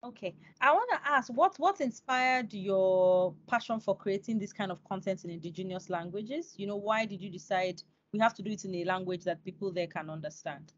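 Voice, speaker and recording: Husky young woman hosting. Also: mid-range voice, moderate pace, few pauses, no echo, dull audio. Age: young adult. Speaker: podcast host. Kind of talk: scripted introduction and interview questions. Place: indoors on a video call.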